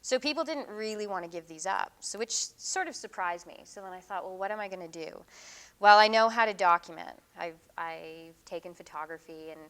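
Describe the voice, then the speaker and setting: A woman's voice: mid-range voice, fairly quick, a bit bright. A middle-aged woman giving an artist talk about her work, speaking casually and thoughtfully with frequent ums and restarts. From Canada. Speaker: visiting artist giving a lecture.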